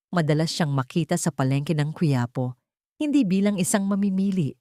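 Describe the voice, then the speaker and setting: A woman's voice: low-pitched, mellow, no room sound, clean studio sound. A woman narrating a scripted dramatic story in a formal, sentimental storytelling manner. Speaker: audiobook narrator.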